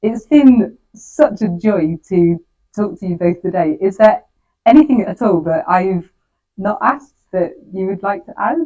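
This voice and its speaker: A woman's voice: mid-range voice, animated, unhurried, warm tone, dull audio. A woman interviewing in an encouraging, conversational way. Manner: encouraging, affirming.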